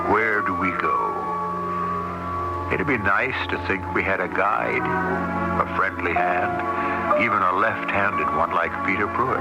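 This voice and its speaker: A man hosting a 1970s radio drama, narrating in a measured, reflective, theatrical way. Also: sits low, poor audio. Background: loud music.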